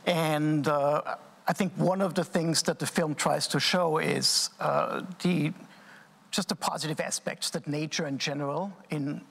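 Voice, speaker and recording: A man opens a talk with formal, somewhat hesitant welcoming remarks. His voice is a touch higher than usual and gravelly. The sound has a long, echoing reverb. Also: fairly even intonation, unhurried, a bit bright. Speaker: host and interviewer. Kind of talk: opening remarks at an online talk.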